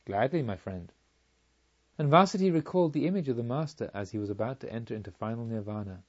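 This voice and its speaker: A man reading a spiritual novel as scripted narration, in a measured, literary storytelling manner. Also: medium pitch, dull audio. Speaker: audiobook reader.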